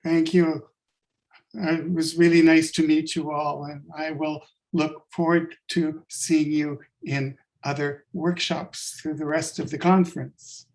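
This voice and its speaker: A man who stutters, presenting a workshop on a video call, talking haltingly and joking. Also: fairly high pitch, little pitch movement, darkish tone, slight background noise, poor audio. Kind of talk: casual thanks in a group call.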